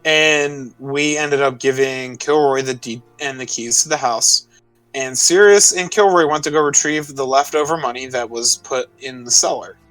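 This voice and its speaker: A man with a mid-pitched voice plays a tabletop roleplay game, talking casually as a player. He sounds slightly off the mic, with faint music in the background. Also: normal speed, fairly bright tone, no room sound, distant mic, low-quality recording. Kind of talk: casual in-game chat and recap.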